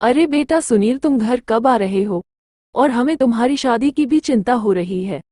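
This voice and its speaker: Woman playing a fond, mellow-toned mother. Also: fairly high pitch, animated, moderate pace, dry recording, studio quality. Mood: fond, worried. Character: anxious, fond mother of grown sons. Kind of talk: acted dialogue in a moral story cartoon.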